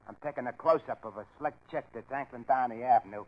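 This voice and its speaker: A man's voice: mid-range voice, fast, close to an everyday voice. Dull vintage radio, male street photographer played by an actor, chatty, breezy, slangy, wisecracking, 1940s crime drama. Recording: muffled 1940s radio recording.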